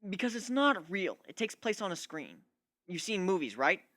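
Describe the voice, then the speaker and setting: Man voicing a cocky, bright-voiced griffin schemer. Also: high voice, very animated, moderate pace, dry recording, clean studio sound, pitched above the performer's own voice, full character voice. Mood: matter-of-fact. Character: cocky, scheming male griffin, secretly from Earth. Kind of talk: dramatic reading of fan fiction.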